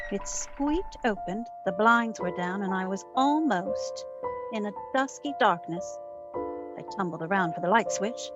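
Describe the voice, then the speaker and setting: A woman playing a wisecracking, hard-boiled private detective in an old-time radio drama, telling her case in a wry, deadpan way. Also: medium pitch. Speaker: lead actor in community theatre radio recreation. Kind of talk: old-time radio detective acting, first-person narration and dialogue. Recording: dull lo-fi recording with music underneath.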